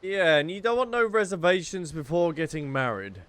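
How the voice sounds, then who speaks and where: A woman's voice: lowish voice, lively intonation, moderate pace, fairly bright tone. A woman gaming streamer giving chatty, reactive, casual commentary during a playthrough. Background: game music.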